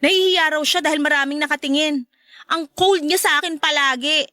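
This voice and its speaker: A woman's voice: high voice, brisk, bright, forceful tone, no room sound, studio quality. A woman playing an emotional, whiny girlfriend and mother in an audio love-story drama, with a Filipino accent and dramatic delivery. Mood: hurt, venting. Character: emotional girlfriend, a mother, venting about her ex.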